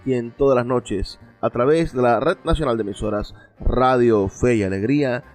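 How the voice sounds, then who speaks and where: A man with a Venezuelan accent hosting a radio program, introducing it in deliberate, measured phrases. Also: medium pitch, darkish tone. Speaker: radio host. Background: music.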